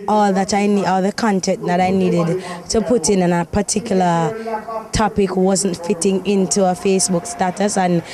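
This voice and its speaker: Jamaican lady comedian chatting in an interview outside, normal pitch, crowd noise in back. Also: level tone, normal speed. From Portland, Jamaica. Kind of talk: interview answers. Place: outdoors at night by shrubbery. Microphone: handheld interview microphone.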